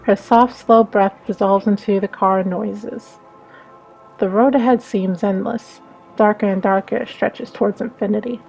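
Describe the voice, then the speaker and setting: A woman's voice: medium pitch, steady tone, a little slow, creaky, darkish tone, poor audio. A woman reading a visual novel aloud as a dramatic narration. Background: background music.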